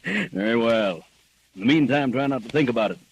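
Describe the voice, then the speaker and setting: A man's voice: medium pitch, animated, a little fast, slightly rough. A man playing an avuncular, dismissive family doctor with a soothing, hemming manner in 1940s radio acting. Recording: noisy old 1940s radio recording.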